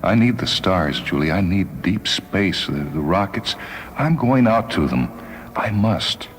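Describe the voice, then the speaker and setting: Man acting deep-voiced, haunted, emotional spaceman. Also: sing-song. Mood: anguished, longing. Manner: halting, emotional, repeats words. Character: dying male spaceman, wistful, haunted, intense. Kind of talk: dramatised short-story reading.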